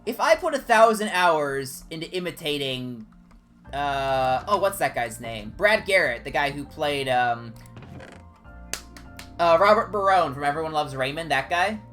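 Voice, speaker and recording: A man with a higher-pitched voice, chatting casually and discursively as a game streamer. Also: unhurried, soft background music. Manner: animated, discursive, jumping between tangents. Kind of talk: casual rambling livestream chat during gameplay.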